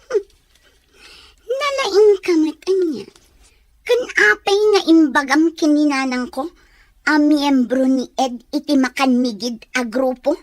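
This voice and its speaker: High girlish voice, stubborn young woman telling someone off, radio drama, really dry studio sound. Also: expressive, unhurried, no room sound. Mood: angry, accusing. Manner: scolding, defiant. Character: headstrong, resentful young woman, scolding her friend. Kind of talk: radio serial drama acting.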